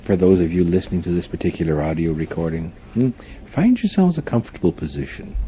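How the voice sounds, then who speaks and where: A man with a very deep, dark and mellow voice leads a guided meditation. His intonation swings widely as he gives formal, deliberate instructions, dotted with thoughtful hums. It is a live recording with faint noise behind him. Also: animated, muffled recording. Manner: formal, deliberate phrasing punctuated by frequent hums.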